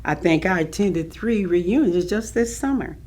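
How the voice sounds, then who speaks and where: An actress playing an earnest, dignified older community elder, reflective and emphatic in a staged reading of recollections. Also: female voice, on the low side, expressive, unhurried, darkish tone. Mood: fond, wistful. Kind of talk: staged reading of oral history testimony.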